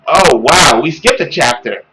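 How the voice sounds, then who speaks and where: A young man hosting a gaming video with casual, expressive, exclamatory commentary. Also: fairly high pitch, a little slow, poor audio. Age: young adult. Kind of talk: casual let's play commentary.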